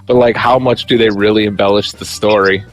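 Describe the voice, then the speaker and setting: Jokey lower-voiced male player. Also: fairly even intonation, brisk, faint music behind, rough recording. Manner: jokey, stammers and laughs. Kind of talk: playing a character in a tabletop game.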